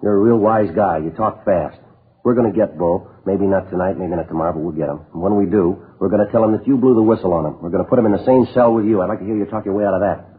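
An actor playing a steady, no-nonsense police detective in a radio crime drama, speaking in a dry, matter-of-fact way on a vintage recording. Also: male voice, fairly low pitch, rapid-fire, breathy edge, phone-quality sound. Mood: firm, pressing. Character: steady, no-nonsense police detective who narrates his cases. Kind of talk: 1950s radio crime drama acting and narration.

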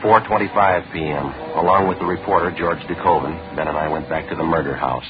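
A man playing a terse, methodical police detective in a vintage radio crime drama, speaking in a matter-of-fact, deadpan way. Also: very deep voice, fairly quick. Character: terse, methodical male police detective narrating his case. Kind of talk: 1950s radio crime drama, clipped scripted narration. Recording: dull old radio broadcast with music.

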